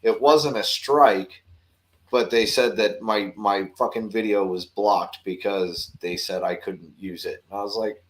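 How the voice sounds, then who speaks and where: A man's voice: fairly low pitch, unhurried. A man chatting casually on a livestream panel, loose and swearing, laughing easily.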